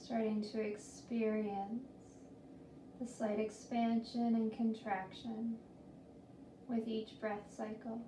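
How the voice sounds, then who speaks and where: A woman with a fairly high, even-toned voice leads a guided meditation. She is gentle and soothing, leaving long pauses between slow phrases. The recording is roomy and distant, with faint noise. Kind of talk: guided body scan meditation.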